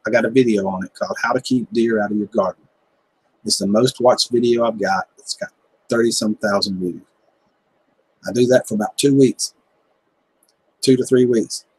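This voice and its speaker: A man's voice: fairly low pitch, fairly even intonation, normal speed, pauses often, breathy edge, poor audio. A man in his fifties with a Southern American accent, chatting casually on a livestream in a laid-back, joking, folksy way. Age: 50s. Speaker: livestream host, gardening channel. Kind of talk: casual chat reading viewer comments.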